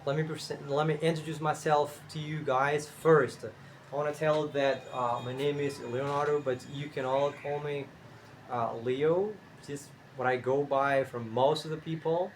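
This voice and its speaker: A young man from Cuba with a Cuban Spanish accent, Spanish his first language, talking casually to camera as a channel host, unhurried and thinking aloud with fillers and restarts. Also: a little high, fairly even intonation, a little hiss or hum.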